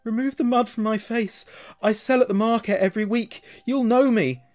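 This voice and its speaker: A man voicing a desperate, falsely accused male hermit shouting and pleading for his life in a horror story. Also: very high voice, moderate pace, soft background music, pitched above the performer's own voice. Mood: urgent pleading.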